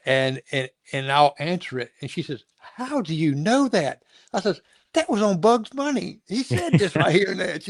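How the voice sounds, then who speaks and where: Male interviewer, middle-aged or older, slightly high pitch, rambling folksy delivery, stammered repeats, dry sound. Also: no room sound. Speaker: show host interviewing a guest. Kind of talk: casual conversational interview.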